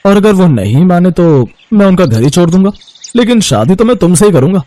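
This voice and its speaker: A man's voice: high-pitched, sing-song, brisk, warm tone, no echo. A man playing a devoted young rich businessman in love in a cartoon story, earnest and reassuring.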